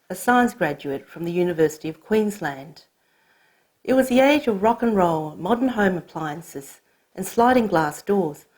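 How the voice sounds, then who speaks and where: Husky lively-pitched woman, grew up in hong kong, cantonese first language, calm thoughtful narration. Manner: measured, reflective storytelling. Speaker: narrator of her own short film. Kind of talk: scripted personal narration.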